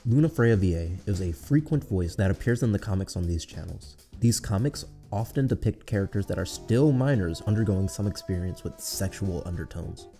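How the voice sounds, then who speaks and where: A man narrates a scripted video essay in an earnest, explanatory way. His voice is low and very dark and mellow, laid over background music. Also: expressive, moderate pace, hardly any pauses. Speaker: co-host of a channel.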